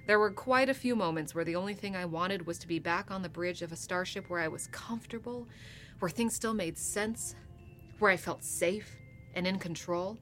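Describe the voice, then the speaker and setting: A woman's voice: lowish voice, normal speed, a bit bright, faint music behind, natural voice. A woman playing a self-assured, teasing starship captain in a naturalistic sci-fi audio drama, conversational and wry. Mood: reflective. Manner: wry, conversational, playfully probing.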